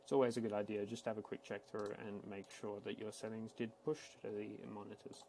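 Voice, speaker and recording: A man giving a casual, matter-of-fact, step-by-step software walkthrough, speaking unscripted like a tech support instructor. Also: on the low side, level tone, fast, slight background noise, rough recording. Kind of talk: screen-recorded software tutorial, unscripted walkthrough.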